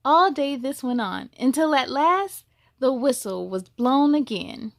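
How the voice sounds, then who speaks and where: A woman reading a children's story aloud as host, expressive and exclamatory, speaking to young listeners. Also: high-pitched, creaky, no echo, clean studio sound. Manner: slow, deliberate, exclamatory delivery for children.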